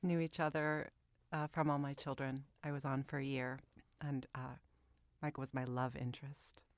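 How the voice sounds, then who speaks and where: A middle-aged woman chatting casually as a guest, retelling things in a playful, animated manner. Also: rumbling low, normal speed. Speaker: actress, interview guest. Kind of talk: casual chat. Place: radio studio.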